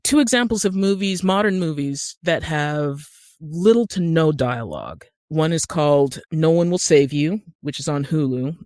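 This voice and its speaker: Woman, middle-aged, American accent, low voice, emphatic conversational storytelling, dry sound. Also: lively intonation, moderate pace, a little mellow, no echo. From Utah, United States. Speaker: podcast guest, screenwriter and actor.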